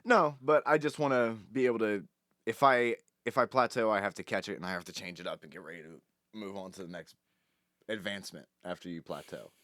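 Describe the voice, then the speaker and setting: A man's voice: on the low side, a little fast, a bit bright, no echo, studio quality. A man co-hosting a casual podcast, chatty and laughing mid-sentence. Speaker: podcast co-host. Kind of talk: casual chat.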